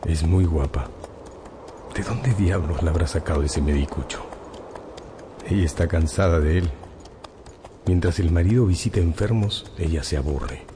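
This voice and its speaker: An actor playing a suave, cynical rich bachelor in a radio drama, smooth, insinuating and theatrically gallant. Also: male voice, deep voice, moderate pace, long pauses, noisy background, natural voice. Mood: scheming.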